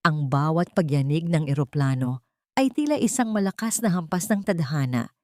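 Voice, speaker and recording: Low-pitched Filipino woman narrating drama. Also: animated, normal speed, warm tone, no echo, studio quality. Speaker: audiobook narrator. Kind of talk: dramatic scripted story narration.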